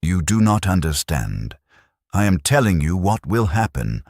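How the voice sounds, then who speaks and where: A man's voice: sits low, big pitch swings, unhurried, gravelly, a little mellow, dry recording, studio quality, pitched above the performer's own voice, exaggerated voice. A man voicing a war-weary veteran soldier in a story, blunt and fatalistic, speaking in stern, clipped, emphatic sentences. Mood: stern warning.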